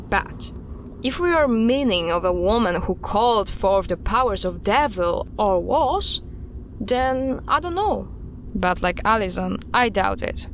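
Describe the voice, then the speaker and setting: A woman playing an earnest, guarded expert recounting dark history in an audio drama styled as a recorded interview, with a measured, serious delivery. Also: medium pitch, slight background noise. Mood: measured storytelling.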